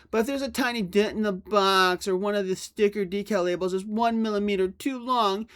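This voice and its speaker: A man's voice: high voice, sharp tone. A man voicing a pompous, self-satisfied toy company boss in a mock dramatic reading of a letter. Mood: defensive, dismissive. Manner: pompous, salesman-like.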